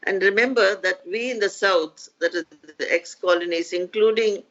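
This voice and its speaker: Older woman, measured and unhurried. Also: mid-range voice, a bit bright, highs cut off. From India. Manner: measured, with hesitant pauses. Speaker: guest economist. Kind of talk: reflective interview answer.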